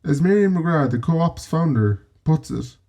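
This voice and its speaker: A man with an Irish accent narrating a scripted history piece in a measured storytelling way, dropping in wry asides. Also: on the high side, animated, creaky, dark tone. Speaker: presenter.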